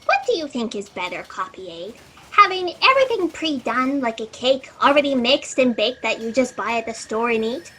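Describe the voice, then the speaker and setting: A woman's voice: fairly high pitch, big pitch swings, normal speed, background noise. A woman playing a scatterbrained, eager fact-checker in a comedic sci-fi audio drama, chirpy and rambling as she goes. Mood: oblivious, musing. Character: scatterbrained, eager, chatty female fact-checker in a cosmic office.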